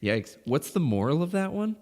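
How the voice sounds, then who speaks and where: A man's voice: a little high, big pitch swings, normal speed, darkish tone. A man co-hosting a podcast, chatting casually in reaction, with a laid-back, dryly joking manner. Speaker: podcast co-host. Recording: long reverb, hall-like room.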